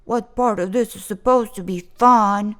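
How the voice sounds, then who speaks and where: A woman's voice: medium pitch, unhurried, lightly acted. A woman voicing a gloomy, reluctant young male rock in a read-aloud picture book, sighing as he talks. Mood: grumbling. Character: glum young male rock with a flat side.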